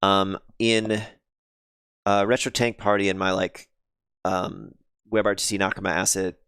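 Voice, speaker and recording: A man with a lowish, smooth voice talks casually through his game code on a live stream, pausing often and greeting viewers. His voice is a little scratchy and sniffly. The sound is close and dry. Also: little pitch movement, a bit bright, no room sound. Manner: thinks aloud, many ums and restarts, chats with viewers. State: slightly scratchy voice, sniffly after illness.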